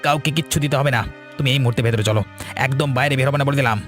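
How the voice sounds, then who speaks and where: A man's voice: mid-range voice, racing, fairly bright tone, faint music behind, lightly acted. A man voicing an agitated, bossy grown son in a ghost cartoon, urgent and curt. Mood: stern, urgent. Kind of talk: cartoon voice acting.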